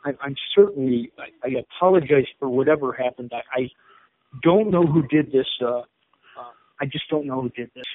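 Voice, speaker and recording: A man's voice: mid-range voice, moderate pace. Upset stammering Chicago man on phone. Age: 50s. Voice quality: stammering, repeats words. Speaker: caller. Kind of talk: phone call. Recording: tinny phone line.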